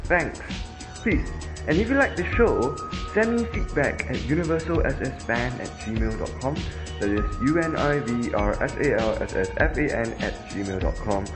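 A man's voice: medium pitch, normal speed, music in the background. A man with a Singaporean English accent, narrating a scripted audio tour as a podcast host, in an instructive tone with the odd filler. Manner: instructive, lively, with occasional fillers.

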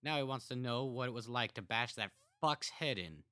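A man voicing a young jailed killer who talks to his family with bitter contempt and builds to heated shouting. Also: on the low side, lively intonation, normal speed, no room sound, studio quality, voice pushed up. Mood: defiant.

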